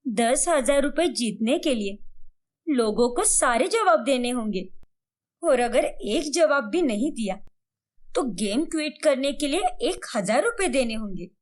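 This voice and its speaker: A woman's voice: high-pitched, animated, a little slow. A woman voicing an eager, playful young daughter-in-law with a chirpy, teasing manner in a cartoon story. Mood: excited.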